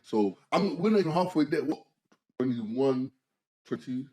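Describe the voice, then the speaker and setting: A man, co-hosting a casual podcast chat, animated, often saying "bro" and "like" and restarting his sentences. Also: medium pitch, measured pace, low-quality recording. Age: 20s. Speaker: podcast co-host.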